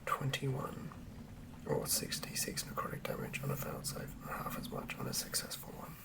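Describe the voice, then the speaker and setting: A person whispering breathily and deliberately close to the microphone, as the host of a fantasy game ASMR read-through. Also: recorded from a distance. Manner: hushed, deliberate phrase-by-phrase reading. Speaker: host and game master reading a monster stat block.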